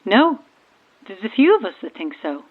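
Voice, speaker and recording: An actress playing an eerie, self-aware believer in ghosts calling from a stranded boat, conversational and cryptic, through a radio filter. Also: female voice, mid-range voice, very animated, moderate pace, breathy edge, narrow, tinny audio. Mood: knowing, teasing. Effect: radio transmission filter. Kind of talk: naturalistic audio horror drama acting. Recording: thin, band-limited marine radio.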